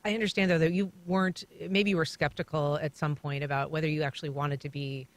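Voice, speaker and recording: A man's voice: a little high, steady tone, quick pace. A man hosting a public radio interview, asking friendly conversational questions in a studio. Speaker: radio host. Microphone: studio microphone.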